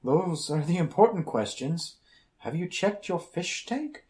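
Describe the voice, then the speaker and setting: A man's voice: on the high side, very animated, measured pace, darkish tone. A man playing an omnipotent, quirky supercomputer in a comic radio drama, speaking in pompous, convoluted and oddly formal sentences. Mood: quirky, grandiose.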